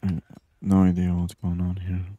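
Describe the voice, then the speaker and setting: Soft-spoken man, very dark low voice. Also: completely flat delivery, normal speed, no echo, lo-fi sound. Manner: murmuring, musing aloud to himself. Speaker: gaming channel host. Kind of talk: soft-spoken ASMR gaming commentary. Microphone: close microphone, dry.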